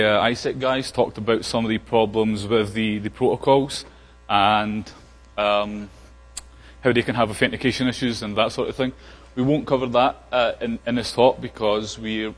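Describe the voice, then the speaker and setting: Scottish man, conference speaker, mid-range voice, halting casual delivery, long hall reverb. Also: mid-range voice. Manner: casual, frequent fillers and restarts. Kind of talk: technical conference talk.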